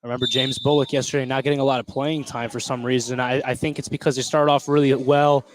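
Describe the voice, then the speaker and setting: A man's voice: mid-range voice, little pitch movement, fast, rough recording. A man giving casual color commentary and short analysis during a live basketball broadcast.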